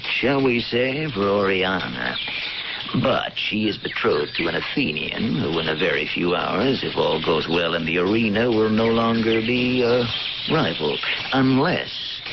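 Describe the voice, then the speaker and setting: An actor playing a sly tavern keeper and blackmailer of ancient Pompeii in a radio drama, with a hesitant, insinuating, mock-deferential manner. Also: male voice, low-pitched, vocal fry, heavily acted. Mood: insinuating, accusing. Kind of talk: theatrical radio drama acting. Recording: noisy, muffled old radio broadcast.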